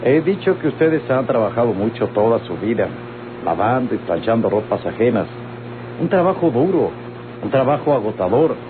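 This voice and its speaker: An actor playing a wealthy Mexican hacienda owner in an old radio drama, formal, insistent and persuasive. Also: male voice, medium pitch, lively intonation, moderate pace, natural voice. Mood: insistent warning. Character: wealthy, persuasive male hacienda owner pressing women to sell. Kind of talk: old radio-novela acting. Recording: noisy, humming, tinny old radio recording.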